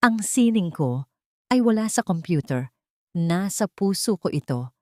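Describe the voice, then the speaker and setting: A woman's voice: fairly low pitch, expressive, measured pace, warm tone, dry recording, clean studio sound. A woman voicing a proud, defiant young heroine held captive in an audio drama story. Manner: resolute, defiant.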